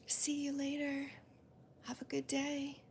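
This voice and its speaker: Female crochet host, whispery and gravelly, calm and even, counts stitches aloud. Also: high-pitched, slow, a little hiss or hum. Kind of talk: soft-spoken crochet demonstration and chat.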